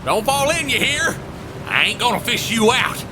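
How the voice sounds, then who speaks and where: A curt, folksy boatman, voiced by an actor, with a high, lively voice for a man. He is blunt and gruff in manner. Engine rumble runs clearly behind him in this audio drama. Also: moderate pace.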